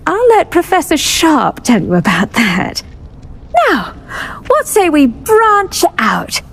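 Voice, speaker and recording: A woman voicing a cheerful, kindly British herbology professor in a video game, effusive and encouraging in her way of talking. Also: high-pitched. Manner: effusive, encouraging, plant puns. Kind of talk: video game character acting.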